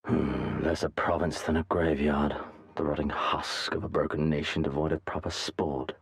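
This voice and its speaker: Gravelly, very deep, disdainful warlord prince. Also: male voice, flat delivery, heavily acted. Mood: bored contempt. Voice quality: gravelly, husky low rumble. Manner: slow, disdainful, aristocratic drawl. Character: menacing, bored, contemptuous imperial warlord prince. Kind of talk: dramatic video game acting.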